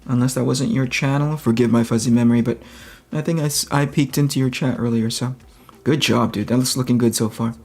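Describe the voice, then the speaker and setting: A man with a relaxing, slightly raspy voice at an ordinary male pitch. He talks casually, as a streamer does while working, and reflects aloud, often reaching for the right word before going on. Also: fairly even intonation, a little fast. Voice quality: relaxing, soft-edged voice. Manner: reflective, searching for words, thinking aloud. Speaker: livestream host, comic book artist. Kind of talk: casual livestream chat while drawing.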